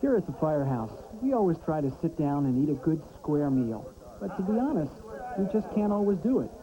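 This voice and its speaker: Plain-talking firefighter, slightly high. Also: male voice, sing-song, brisk, crowd noise. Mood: matter-of-fact. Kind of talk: testimonial television commercial. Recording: dull audio, voices behind.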